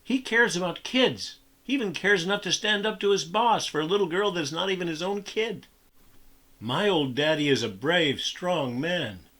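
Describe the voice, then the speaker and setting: A man raises his voice to play a scared, soft-hearted young boy. The voice sits well above the man's own and sounds tearful and shaky. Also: animated, moderate pace. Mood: admiring. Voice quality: high, tearful.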